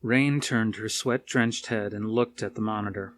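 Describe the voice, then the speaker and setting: Low-voiced male story narrator. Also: fairly even intonation, moderate pace. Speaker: audiobook reader. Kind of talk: scripted narration of an action horror novel.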